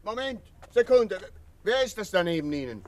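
An actor playing an agitated, impatient singer confiding in the police in a radio crime drama. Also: male voice, sing-song, normal speed. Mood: impatient, wary. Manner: impatient, urgent. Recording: noisy car interior, engine rumble. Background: vehicle rumble, traffic.

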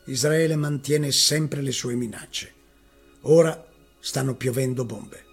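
A man's voice: on the high side, creaky, husky. A man giving a dramatic reading of a first-person eyewitness account. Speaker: reader. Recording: music playing behind the voice.

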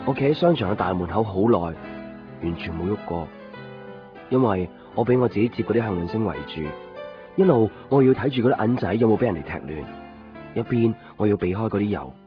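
A man's voice: fairly low pitch, animated, a little fast, natural voice. An actor playing a stubborn, lovelorn young man in a radio drama, speaking with a Hong Kong Cantonese accent. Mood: melancholy narration. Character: lovelorn, stubborn young man waiting, guarding his coins. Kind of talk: radio drama acting with first-person narration. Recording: muffled 1990s radio drama recording, music.

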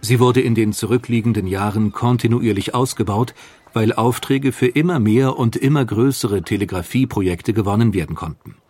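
A man reading a scripted documentary narration in a measured, factual way. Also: fairly low pitch, a little mellow.

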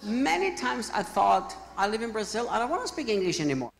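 A man from Austria with an Austrian accent, preaching in English as a second language, with pauses in short phrases for his interpreter. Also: high-pitched, very animated, gravelly, a little hiss or hum. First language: German. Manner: speaks in short phrases, pausing for translation, plain and joking. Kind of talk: sermon with live interpreter. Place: church hall in Brazil.

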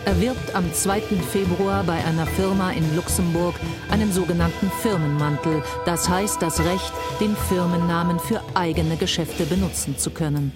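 An actress playing a sober, suspenseful narrator of scripted crime reports in a radio collage. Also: female voice, on the low side, moderate pace, few pauses, over loud music, close to an everyday voice. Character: matter-of-fact female crime report narrator.

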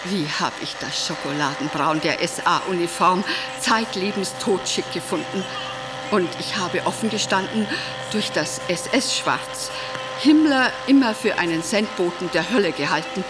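An actress playing a hysterical, devoted Nazi-era wife and vain former stage star in a theatrical monologue, declaiming with drawn-out, melodramatic phrasing. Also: female voice, on the low side, over music, close to an everyday voice, low-quality recording. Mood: wistful.

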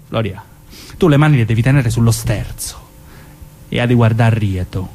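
An actor playing a menacing, evasive southern Italian mafioso in a theatrical dramatic reading, with a southern accent. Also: male voice, mid-range voice, unhurried, darkish tone, close to an everyday voice. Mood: cajoling, menacing.

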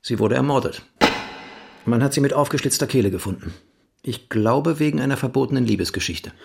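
An actor playing a casual, dismissive writer husband in an audio drama, with a laconic, offhand way of talking. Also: male voice, mid-range voice, lively intonation, brisk, vocal fry, background noise. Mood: intent. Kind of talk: German audio drama acting.

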